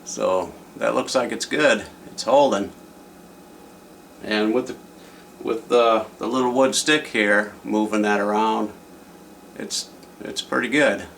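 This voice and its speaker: A man's voice: on the low side, slow, gravelly, long pauses, a bit bright, slight background noise. A man giving a casual hands-on repair demonstration in a plain-spoken, folksy way, as the host of a repair video. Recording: distant microphone, music playing.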